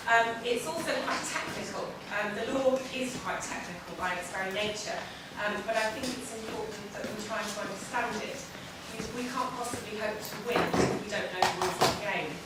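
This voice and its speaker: English woman lecturing in an echoey hall. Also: medium pitch. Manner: measured, frequent ums, slightly self-deprecating. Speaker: conference speaker. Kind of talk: academic lecture. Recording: echoey hall recorded from far off, noisy.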